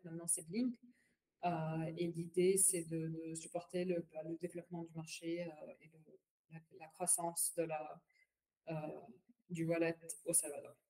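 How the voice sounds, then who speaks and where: A woman from Palestine whose first language is Arabic, talking as a podcast guest in a halting, filler-heavy way and slipping English phrases into her speech. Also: lowish voice, mellow. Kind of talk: casual interview chat.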